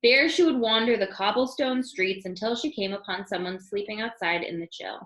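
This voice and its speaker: A woman reading a children's storybook aloud in an expressive storytelling style. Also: medium pitch, normal speed, roomy sound. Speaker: storybook reader.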